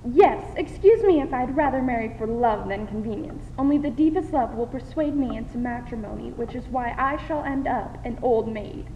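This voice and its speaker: A woman's voice: fairly high pitch, normal speed, hardly any pauses, distant mic, close to an everyday voice. A woman playing a prim, blunt young unmarried lady with emphatic delivery in a 1920s radio drama parody. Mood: resolute. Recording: muffled, reverberant, faint hiss.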